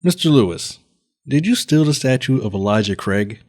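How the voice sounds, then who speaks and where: A man playing an impassioned defense lawyer in an animated comedy, with a grandiose, emphatic delivery. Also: medium pitch, normal speed, close to an everyday voice. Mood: forceful. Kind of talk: animated comedy courtroom dialogue.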